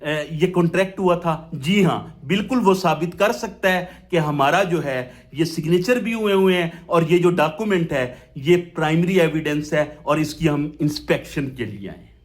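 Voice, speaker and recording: A man's voice: fairly high pitch, moderate pace. A man with a Pakistani accent lecturing on law in a didactic way, posing questions and answering them, mixing in English terms. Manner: switches between Urdu and English legal terms. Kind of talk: explanatory law lesson with worked examples.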